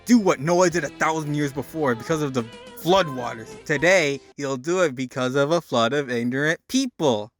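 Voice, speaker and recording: A man's voice: fairly high pitch, moderate pace, a bit bright. A man giving a theatrical, emphatic dramatic reading of a written story. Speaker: narrator doing a dramatic reading. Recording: music behind the voice. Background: music.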